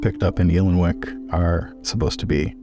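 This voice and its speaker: A man's voice: sits low, fairly even intonation, moderate pace, music in the background. A man playing a tabletop game, casually improvising and describing what his character does in the third person.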